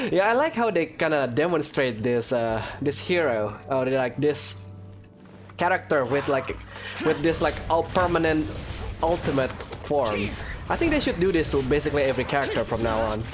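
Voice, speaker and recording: A man's voice: mid-range voice, expressive, normal speed. A man giving casual playthrough commentary, laid-back and amused as he reacts aloud to a video game. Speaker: gaming video creator. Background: game music.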